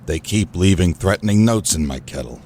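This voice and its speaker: A man's voice: sits low, lively intonation, a little fast, darkish tone, a little hiss or hum. A man playing a shady, pleading old crook of a father in a comedic noir radio play. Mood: worried.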